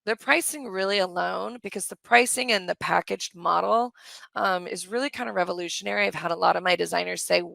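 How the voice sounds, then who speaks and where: A middle-aged woman with a slightly hoarse voice, hosting a live webinar in a chatty, enthusiastic way and answering questions from the chat. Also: on the low side, a little fast, a bit bright, no room sound. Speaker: course host on a live webinar. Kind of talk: live webinar sales pitch and Q&A.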